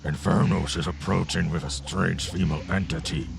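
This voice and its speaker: A monstrous servant of a dark lord in a fantasy audio drama. The voice is deep and heavily gravelled, formal and deferential, with noisy ambience around it. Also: male voice, steady tone, normal speed. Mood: urgent reporting.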